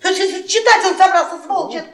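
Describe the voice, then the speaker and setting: Taunting, tipsy middle-aged wife who rules the house; theatrical radio play, high pitch, echoing room. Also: female voice, fairly quick. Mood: indignant. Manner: scornful, taunting, repeats herself. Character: drunken, domineering, vulgar middle-aged wife. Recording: echoey distant stage-like radio play.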